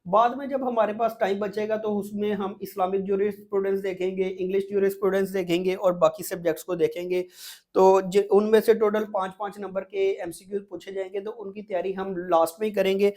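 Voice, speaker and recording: A man's voice: high-pitched, flat delivery, a little fast, a little mellow. A man with a Pakistani accent giving an exam-prep lecture, explaining step by step as a teacher and often repeating points with little check-in words.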